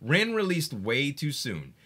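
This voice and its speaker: A man chatting casually on a live game stream, excitable and swearing, hyping games and laughing often. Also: fairly high pitch, takes it slowly.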